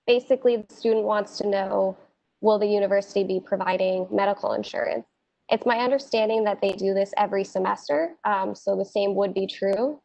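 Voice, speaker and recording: A woman's voice: medium pitch, gravelly, a little mellow. Woman moderating a virtual town hall. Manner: reads out questions, then explains steadily. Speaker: dean and moderator. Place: home office. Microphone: video call on a laptop. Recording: dull, muffled video call.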